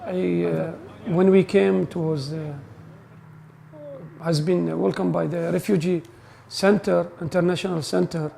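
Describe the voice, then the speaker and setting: A man's voice: high voice, steady tone, measured pace, gravelly, warm tone, slight background noise. A man from Iraq, a grocery store co-owner speaking hesitantly in a documentary interview. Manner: hesitant, frequent "uh" fillers.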